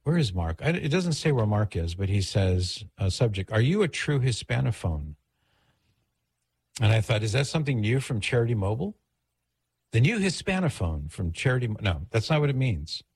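Middle-aged man, from the United States, low pitch, rough-edged husky tone, dry studio, conversational radio host, rambling asides. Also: big pitch swings, moderate pace, a little mellow, dry recording. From United States, Los Angeles area. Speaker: radio call-in show host. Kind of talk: casual talk radio, reading listener email and reminiscing.

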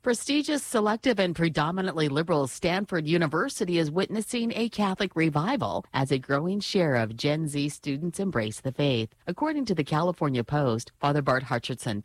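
A woman reading the news for a radio station in a measured, even delivery. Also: low voice, no room sound. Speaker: newsreader.

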